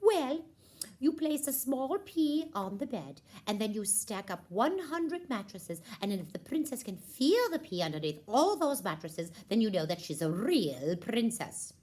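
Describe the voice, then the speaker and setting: A woman's voice: on the high side, sing-song, measured pace, a little mellow. A woman voicing a bossy, scatterbrained queen mother puppet, fussy and regal in manner, for a children's puppet show. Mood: self-assured. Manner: regal fussing, emphatic, self-assured.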